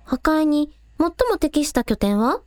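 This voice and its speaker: A woman's voice: sits high, lively intonation, measured pace. A woman playing a plucky, practical young village woman in an amateur radio drama, talking casually and matter-of-factly. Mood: inquisitive.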